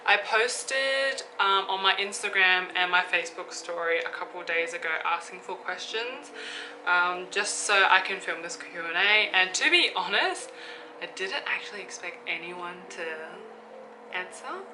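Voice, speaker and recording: A woman's voice: lowish voice, animated, unhurried, noisy background. A woman, chatting casually through a Q&A vlog and thinking aloud with fillers. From Australia. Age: 20s. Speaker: YouTuber. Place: room with an open window. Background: background music.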